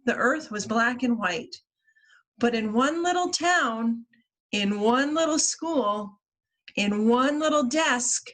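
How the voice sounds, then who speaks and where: Woman, higher-pitched voice, very unhurried pace, children's storybook read-aloud, expressive deliberate delivery. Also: low-quality recording. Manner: expressive, deliberate phrasing with acted-out character lines. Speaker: children's librarian reading at story time.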